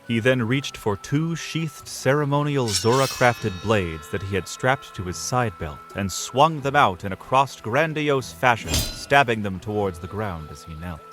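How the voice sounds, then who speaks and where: A man's voice: lowish voice, expressive, measured pace, few pauses, music in the background. A man narrating a scripted fantasy audio drama in a dramatic storytelling style.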